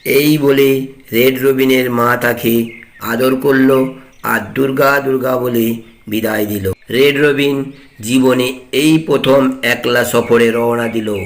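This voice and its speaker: A man narrating a scripted cartoon fairy tale as its storyteller. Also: medium pitch.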